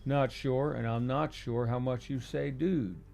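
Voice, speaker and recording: Man doing a wry old man, dry, understated, gravelly, faint music. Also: mid-range voice, steady tone, unhurried, darkish tone. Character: older man, calm and wry.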